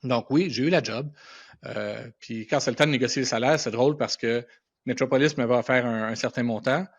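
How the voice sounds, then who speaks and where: A man's voice: mid-range voice, fairly quick. A middle-aged man with a Quebec French accent tells anecdotes casually as an interview guest, using colloquial speech sprinkled with English words. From Quebec, Canada. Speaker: podcast guest, animation and visual effects professional. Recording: dull, band-limited podcast audio.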